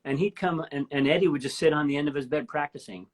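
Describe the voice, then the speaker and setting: A woman in her fifties with a deep voice, hosting a casual live-stream chat and telling stories with enthusiasm. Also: quick pace. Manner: enthusiastic, rambling storyteller. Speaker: host. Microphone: live stream from home.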